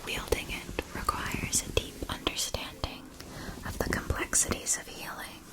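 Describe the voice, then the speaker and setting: Woman playing a softly whispering, gentle witch-school wand seller. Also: lightly acted, poor audio. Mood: soothing, instructive. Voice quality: breathy whisper. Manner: slow, soothing, deliberate, with hushed repetitions. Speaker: ASMR performer. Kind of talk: whispered ASMR roleplay, scripted.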